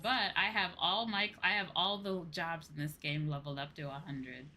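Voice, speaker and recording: A woman's voice: lowish voice, fairly even intonation, moderate pace, bright, forceful tone, faint music behind. A woman streaming a game and chatting casually, with a sweary, playfully whiny and emphatic manner. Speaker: streamer, VTuber host.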